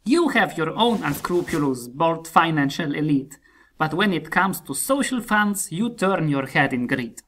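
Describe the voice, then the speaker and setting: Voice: mid-range voice, expressive, unhurried, a bit bright. An actor playing a scornful, cynical Russian autocrat in satirical rhymed dialogue, with a sardonic, declamatory delivery. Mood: sardonic, argumentative.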